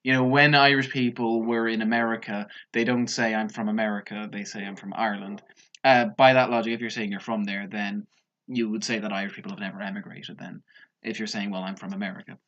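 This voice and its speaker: A man's voice: on the low side, little pitch movement, fairly quick, a bit bright. A man commenting on a TV clip in a casual, sarcastic and mocking way. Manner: sarcastic, mocking, mimics others. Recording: dull lo-fi sound.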